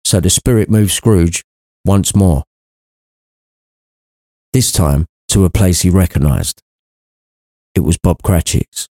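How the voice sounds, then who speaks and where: Low-voiced, mellow man narrating irreverently. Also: slow pace, long pauses, no room sound, clean studio sound. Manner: sweary, wry asides. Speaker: narrator, podcast reader. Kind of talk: irreverent comic storybook narration.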